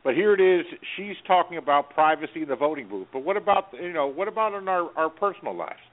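A man on a talk radio panel, earnest and exasperated, who rambles and hunts for words with lots of fillers. His voice sits a little higher than most men's. The sound is thin and narrow, like a phone. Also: animated, fast. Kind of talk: casual political talk radio chat. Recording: tinny phone-like radio audio.

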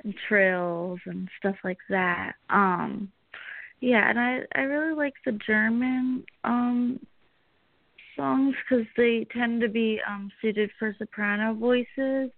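A young woman singer answering interview questions, pausing often with many ums and small laughs. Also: on the high side, fairly even intonation, gravelly, no room sound. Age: young adult. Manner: hesitant, many ums, giggly. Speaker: singer, guest. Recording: tinny phone-like line.

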